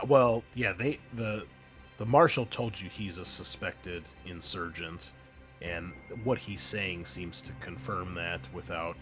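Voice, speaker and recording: A man running a tabletop roleplaying game as host, narrating casually in a halting, conversational way. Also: low-pitched, a bit bright. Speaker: game master and podcast host.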